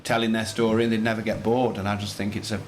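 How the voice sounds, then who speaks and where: Enthusiastic male teacher with a lowish voice. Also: level tone, fast talker, a little hiss or hum. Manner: enthusiastic, rambling with repeated phrases. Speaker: primary school teacher, interview guest. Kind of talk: conversational interview answers.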